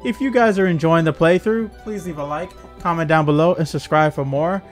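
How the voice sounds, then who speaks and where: A man's voice: on the high side, brisk. Casual male gamer over game music. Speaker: gaming video host. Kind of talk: casual gameplay commentary. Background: video game music.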